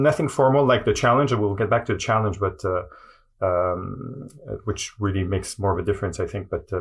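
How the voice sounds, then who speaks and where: A man with a Quebec French accent speaks in a lowish voice. He answers questions hesitantly, trailing off and restarting, with a steady stream of fillers. French is his first language. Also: slightly rough. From Montreal, Quebec. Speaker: guest. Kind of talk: casual interview answers.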